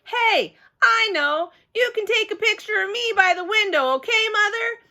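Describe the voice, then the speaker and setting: Woman plays bossy little storybook girl: high, bright, eager, emphatic and chatty. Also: expressive, moderate pace, gravelly, exaggerated voice. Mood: excited.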